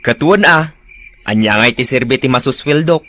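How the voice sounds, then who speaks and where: A man's voice: medium pitch, moderate pace, bright, forceful tone, natural voice. A man playing a long-suffering husband in a comedic radio drama, with an Ilocano accent. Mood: exasperated.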